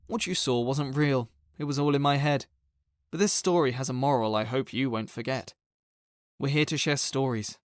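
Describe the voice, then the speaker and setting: An actor playing a troubled author who stands up for himself, speaking earnest, declamatory rhyming lines in an audio drama. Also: male voice, mid-range voice, no echo, clean studio sound, close to an everyday voice. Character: troubled male author standing up to his characters.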